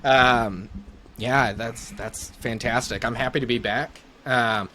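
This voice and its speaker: A man's voice: medium pitch, fairly even intonation, slow pace, pushed and bright, slight background noise. A man in his thirties speaking as a neurologist guest in a casual interview.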